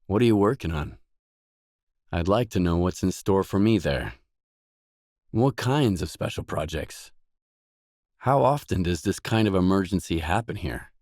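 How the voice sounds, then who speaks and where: An actor playing a wary, world-weary stalker, the blunt protagonist of a video game, in terse acted dialogue. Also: male voice, low-pitched, animated, unhurried, long pauses, darkish tone, no room sound, studio quality. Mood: probing, wary.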